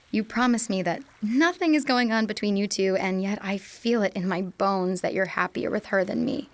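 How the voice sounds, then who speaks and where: A young woman playing an insecure, devoted girlfriend who speaks in a hushed, faltering way in a student radio drama. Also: mid-range voice, slight background noise, lightly acted.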